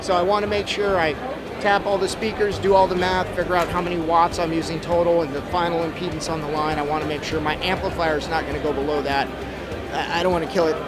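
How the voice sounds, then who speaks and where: A man's voice: on the high side, little pitch movement, fast talker, over a noisy crowd. A middle-aged man giving a casual, step-by-step technical explanation as an expert guest in an interview. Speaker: expert guest, audio trainer. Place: busy trade show floor. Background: music.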